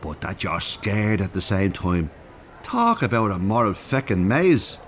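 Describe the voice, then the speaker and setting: A man's voice: fairly low pitch, big pitch swings, a little slow. Cheeky older Irish male rogue for radio drama; hugely varied intonation, faint noise behind. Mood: needling. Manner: colloquial, teasing, swears mildly. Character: chatty, cheeky older Dublin chancer, male. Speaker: actor in an audio drama.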